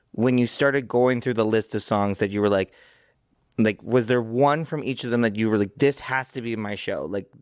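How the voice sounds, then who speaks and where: A man's voice: medium pitch, clean tone, no room sound, studio quality. A man hosting a casual interview, talking in a rambling way and laughing easily. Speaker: podcast host.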